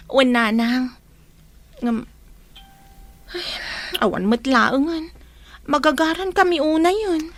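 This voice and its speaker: A woman's voice: high voice, steady tone, slow pace, pauses often. A woman playing an anxious young wife who longs for a child in a radio drama, speaking emotionally with an Ilocano accent. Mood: worried. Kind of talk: Filipino radio drama acting.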